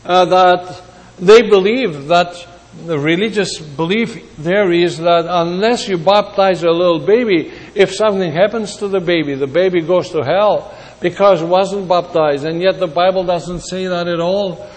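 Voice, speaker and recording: A man from Greece preaching a sermon in a deliberate way, repeating words for emphasis. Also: sits high. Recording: long hall reverb.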